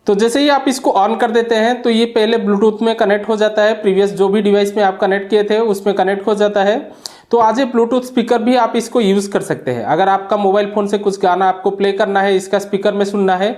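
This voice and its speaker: A man's voice: sits high, darkish tone. A man giving a product demonstration and review, explaining plainly step by step.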